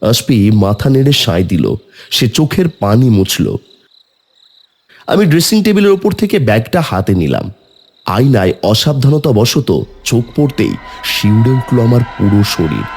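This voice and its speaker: A man's voice: mid-range voice, very animated, moderate pace, darkish tone, slightly rough. A man narrating a scripted horror story in the first person, in a dramatic, suspenseful manner.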